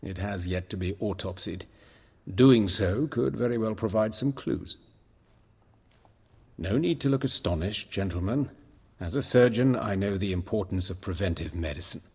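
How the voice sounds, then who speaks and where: Game surgeon, deepish, husky, formal, erudite, coolly resolute, long reverb. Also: male voice, darkish tone, heavily acted. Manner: formal, measured, precise. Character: composed, erudite male surgeon with steely resolve. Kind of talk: video game voice acting.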